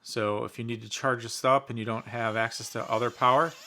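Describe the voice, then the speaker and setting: A man's voice: mid-range voice, steady tone, brisk, pushed and bright, a little hiss or hum. A man giving casual, conversational commentary as he reviews a product in an unboxing. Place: indoors in a basement.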